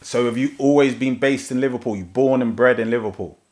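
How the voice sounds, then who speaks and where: A man's voice: medium pitch, steady tone, brisk, a little mellow. A man hosting an interview podcast, chatting in a laid-back, easygoing way with his guest. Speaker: podcast host. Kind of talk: casual interview chat.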